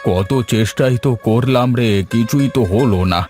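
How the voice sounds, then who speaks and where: A man voicing a weary, resigned elderly villager in a dramatised moral story, with slow, sighing delivery over music. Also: mid-range voice, darkish tone, natural voice.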